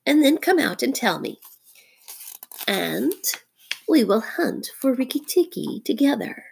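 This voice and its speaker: Lady doing a bossy plotting snake queen for a storybook, pitched way up, deliberate and sneaky. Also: big pitch swings, creaky, husky, heavily acted, rough recording. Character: scheming, commanding female cobra, plotting wife.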